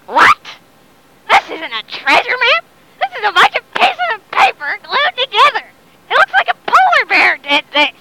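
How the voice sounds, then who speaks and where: A woman voicing a greedy, indignant pirate crewman in a story, loud and demanding. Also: extremely high pitch, huge pitch swings, normal speed, very noisy, voice pushed up, heavily acted. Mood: outraged.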